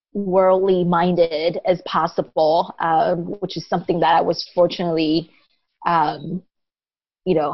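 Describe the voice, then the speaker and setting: A woman who grew up in Taiwan, a guest in a casual interview, talking in a thoughtful and unhurried way with lots of pauses. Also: on the low side, dry recording. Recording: dull lo-fi dry audio.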